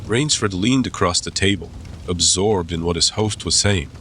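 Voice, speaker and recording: A man's voice: low-pitched, moderate pace, bright, forceful tone, a little hiss or hum. A man delivering scripted third-person narration for a dramatized audiobook.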